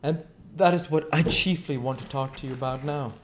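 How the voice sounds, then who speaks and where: A man's voice: on the high side, normal speed, a little hiss or hum, pitched above the performer's own voice, natural voice. A man voicing an arrogant, bitter, volatile scientist on the run in a storybook, declaiming emphatically and indignantly. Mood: eager, boastful.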